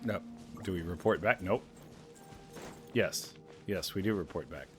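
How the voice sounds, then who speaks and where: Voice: low-pitched, a little slow, music in the background. A let's player giving casual reaction commentary, amused and musing aloud.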